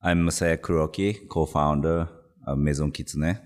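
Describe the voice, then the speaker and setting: A man from Japan, a guest on an interview podcast, answering in short, halting English with many fillers. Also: rumbling low, fairly even intonation. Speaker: guest, brand co-founder. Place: New York studio.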